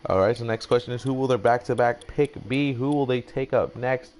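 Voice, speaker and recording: A man's voice: medium pitch, a little fast, a little mellow. A young man doing casual, rambling mock-announcer commentary for a video game draft, swearing now and then. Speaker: gaming YouTuber.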